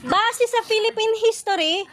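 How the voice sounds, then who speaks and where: A woman with a Filipino accent playfully hosting a quiz, putting questions loudly to contestants. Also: extremely high pitch, a little slow. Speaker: quiz host. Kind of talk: playful quizzing in a comedy video.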